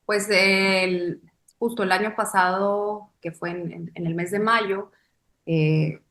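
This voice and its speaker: A young Mexican woman from Sonora, a choreographer, answering interview questions in a thoughtful way and pausing to search for words. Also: lowish voice, echoey room. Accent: northern Mexican. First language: Spanish. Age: young adult. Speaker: guest choreographer.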